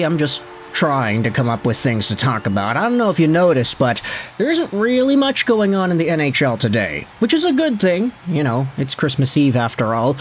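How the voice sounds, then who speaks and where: Chatty young man, hockey talk. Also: a little hiss or hum. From Philippines. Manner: chatty, conversational, with lively jumps in pitch. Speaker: hockey channel host. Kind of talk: casual solo commentary on hockey standings.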